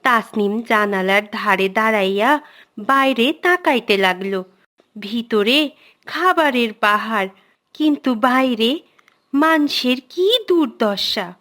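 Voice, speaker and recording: A woman's voice: fairly high pitch, lively intonation, takes it slowly, fairly bright tone. A woman narrating a scripted children's cartoon tale in an old-fashioned literary storytelling manner.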